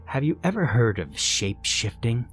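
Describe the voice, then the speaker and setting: A man's voice: medium pitch, sing-song, normal speed, natural voice. A sinister shapeshifter in a horror story, played by a male narrator, speaks with a British accent over music. He is gleeful and theatrically chatty. He mocks his captive with smug ease.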